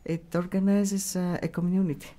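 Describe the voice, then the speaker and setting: Low, mellow middle-aged Greek-accented woman. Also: level tone. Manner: halting, searching for words. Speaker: radio station staff member, interviewee. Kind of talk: unscripted interview answers. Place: small radio studio. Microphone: broadcast mic on boom arm.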